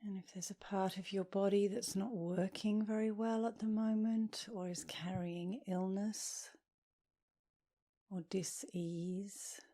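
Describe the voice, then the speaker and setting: A woman leading a guided meditation as an instructor, speaking softly and soothingly, with gentle, breathy phrase endings. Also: medium pitch. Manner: soothing, gentle, unhurried with long pauses.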